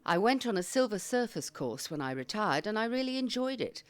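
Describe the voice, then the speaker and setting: A woman's voice: medium pitch, sing-song, fairly quick, lightly acted. An actress playing a capable, impatient retired woman who takes charge in an audio comedy, speaking. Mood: proud. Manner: decisive, impatient, commanding. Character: bossy, capable retired woman, sharp-witted care-home ringleader. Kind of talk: audio comedy drama acting.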